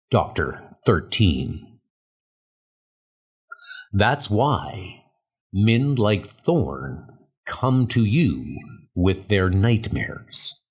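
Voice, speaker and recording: A man narrating a comic story in a slow, deliberate, portentous style. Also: fairly low pitch, breathy edge. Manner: slow, deliberate, portentous second-person delivery. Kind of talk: dramatic scripted comic narration. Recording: music behind the voice. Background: music.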